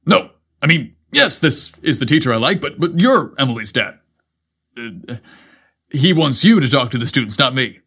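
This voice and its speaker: A flustered, lovestruck fire captain acted by a man reading a story. He speaks haltingly and stammers, at a medium male pitch. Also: sing-song, bright, forceful tone, breathy edge, full character voice. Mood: nervous, evasive.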